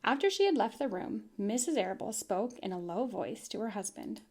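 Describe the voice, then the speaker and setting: A woman's voice: mid-range voice, moderate pace. Woman reading a children's book aloud. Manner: clear, expressive storytelling.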